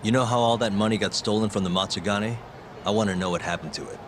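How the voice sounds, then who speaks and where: A man's voice: fairly low pitch, fast talker, a little hiss or hum, lightly acted. An actor playing a laid-back, dry-witted male private investigator in an English video game dub. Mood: businesslike, dry. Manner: dry, unruffled, wry.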